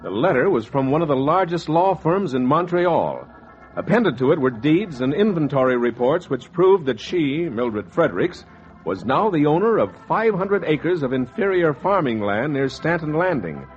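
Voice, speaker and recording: An actor playing a wry, scheming fur speculator in a 1940s radio drama, with a hardboiled storytelling style. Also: male voice, on the high side. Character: slick, wry, scheming fur speculator telling his tale. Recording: dull 1940s radio recording, music behind.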